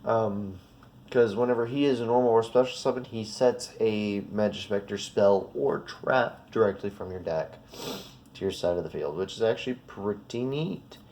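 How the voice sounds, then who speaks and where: A man's voice: lowish voice, moderate pace, warm tone. A man with a slightly sniffly, congested voice gives a casual, unscripted card deck walkthrough in an informal, rambling, self-correcting way. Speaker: trading card video creator.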